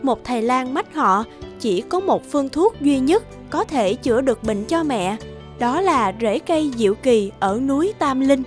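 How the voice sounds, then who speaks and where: A female narrator reads a scripted fairy tale. Her voice is high-pitched, and light music plays softly behind her. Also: lively intonation, a little slow.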